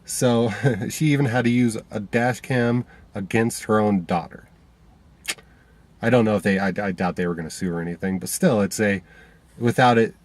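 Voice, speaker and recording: A man with a somewhat low voice talks straight to a handheld camera from inside his car. He sounds stuffy from a cold. He rambles casually, full of fillers and restarts. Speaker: vlogger.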